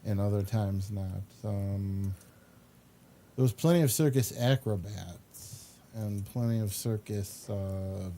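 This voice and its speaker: A man hosts a loose, casual show, talking over video clips. His voice is mellow and relaxed, and he rambles haltingly. There is faint noise behind him. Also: lowish voice. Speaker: show host.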